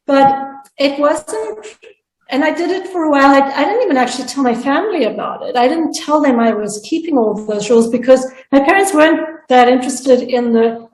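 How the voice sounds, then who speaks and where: A woman's voice: high voice, reverberant, low-quality recording. A woman from South Africa, speaking earnestly as a panelist and sharing her own story, often restarting her sentences. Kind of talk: personal storytelling in a panel discussion.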